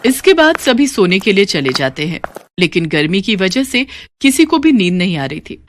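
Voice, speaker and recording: A woman's voice: medium pitch, sing-song, moderate pace, slight background noise. A woman reading scripted narration for a children's moral story.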